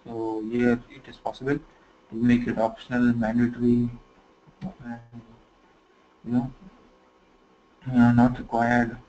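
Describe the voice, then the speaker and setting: A man teaching software configuration in a screen-recorded tutorial, talking conversationally and filling pauses with "you know". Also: mid-range voice, monotone. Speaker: software trainer. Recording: slightly dull lo-fi screen recording with faint noise.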